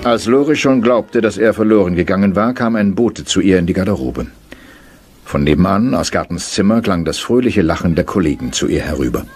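A man's voice: sits low, lively intonation, fairly quick, a little mellow, faint music behind. A man narrating a radio drama in a scripted storytelling style.

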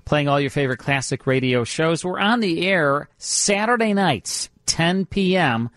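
A man's voice: on the high side, expressive, a little slow, a bit bright, no echo. Folksy middle-aged man hosting radio. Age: 50s. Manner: folksy, joking. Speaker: radio host.

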